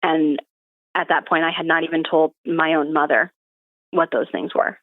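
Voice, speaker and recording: Young lady podcast guest chatting casually, lowish voice, sounds like she's on a phone call. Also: little pitch movement, moderate pace, no echo. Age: young adult. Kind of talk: casual personal storytelling. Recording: narrow phone-like call audio.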